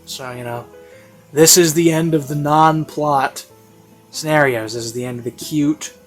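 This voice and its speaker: A man's voice: a little high, measured pace. A man giving a casual, unscripted review in a rambling, hesitant way, often interrupting himself. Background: classical instrumental music.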